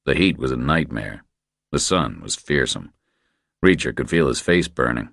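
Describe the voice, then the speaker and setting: A man narrating a crime thriller audiobook in a measured, deliberate, scripted style. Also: rumbling low, expressive, gravelly, a bit bright, dry recording, studio quality.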